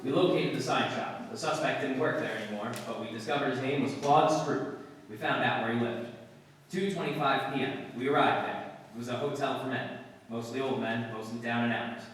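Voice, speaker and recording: An actor playing a businesslike, persistent police sergeant in a 1950s-style radio drama, with clipped, matter-of-fact delivery. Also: male voice, mid-range voice, fairly even intonation, brisk, a bit bright, soft background music, close to an everyday voice. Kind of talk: 1950s radio drama acting with first-person narration. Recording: distant, very echoey hall with noise.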